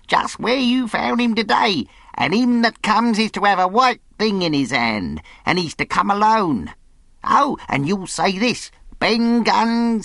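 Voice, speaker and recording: A wild old castaway, high and gravelly. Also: male voice, no echo, clean studio sound, full character voice. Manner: rustic sailor dialect, pleading, drawn-out, excitable. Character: wild half-mad old male castaway, eager and cunning.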